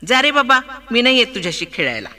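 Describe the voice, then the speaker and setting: A man's voice: very high-pitched, big pitch swings, fast talker, pushed and bright, pitched above the performer's own voice, heavily acted. A man voicing a prissy female house cat who giggles and sneers as she mocks, in a children's storybook. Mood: mocking.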